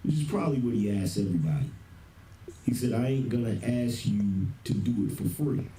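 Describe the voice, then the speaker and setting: A man's voice: fairly low pitch, animated, brisk, background noise. A man casually recounting conversations in the first person, informal and slangy with some profanity. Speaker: internet commentator.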